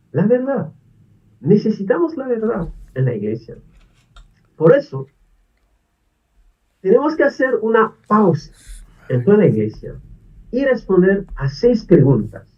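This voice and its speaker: Non-native priest, earnest and pleading, high lively voice, distant muffled recording. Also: male voice. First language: not Spanish. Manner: earnest pleading, repeated questions. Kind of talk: video appeal to the faithful. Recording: distant dull lo-fi video audio.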